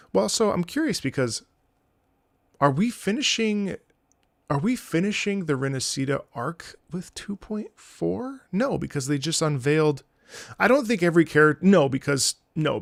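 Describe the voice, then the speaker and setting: A man with a creaky, gravelly edge to his voice talks through his thoughts on a game in a loose, rambling way. He sounds like an enthusiastic streamer speaking off the cuff. The sound is close and dry, with very little room on it. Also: mid-range voice, no echo. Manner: rambling, thinking aloud. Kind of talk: casual off-the-cuff game commentary.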